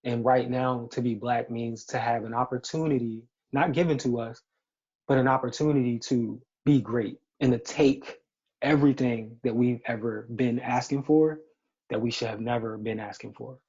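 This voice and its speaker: A man who works as a beauty editor, speaking earnestly and deliberately in an interview answer. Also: mid-range voice, dark tone, breathy edge, highs cut off.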